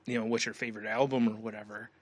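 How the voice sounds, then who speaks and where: A man's voice: lowish voice, little pitch movement, rapid-fire, no echo. A man co-hosting a podcast in casual, rambling conversation, often dropping in "you know.". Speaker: podcast co-host. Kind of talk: casual chat.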